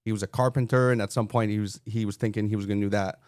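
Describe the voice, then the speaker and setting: Man in his forties, Quebec French accent, low voice, easygoing storyteller, dry close sound. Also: steady tone, very fast, darkish tone, no room sound. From Montreal, Canada. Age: 40s. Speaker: firefighter-paramedic guest. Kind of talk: podcast interview.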